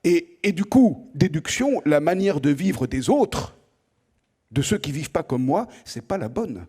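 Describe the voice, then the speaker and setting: A man's voice: a little high, very animated, unhurried, warm tone, breathy edge. A middle-aged French man giving an opening lecture to students in a conversational way, often asking the room direct questions and repeating words as he thinks. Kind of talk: lively spoken lecture to students.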